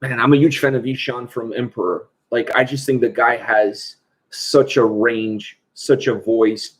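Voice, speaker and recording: A man's voice: mid-range voice, steady tone, measured pace, husky, low-quality recording. A man from Portugal hosting a casual livestream, chatting and answering viewer questions. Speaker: host.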